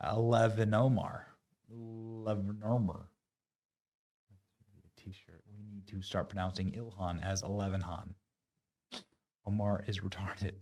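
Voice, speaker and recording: A man's voice: fairly low pitch, little pitch movement, unhurried, pauses often, a little mellow. A man hosting online political commentary, talking in a mocking, sarcastic and emphatic way.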